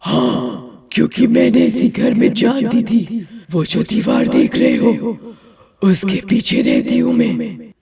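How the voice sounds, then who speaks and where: An actor voicing a gloating, menacing female witch ghost who cackles and taunts slowly in a horror cartoon story. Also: medium pitch, vocal fry, darkish tone. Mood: angry, territorial. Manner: cackling, taunting, drawn-out delivery. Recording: echoey, distant from the mic.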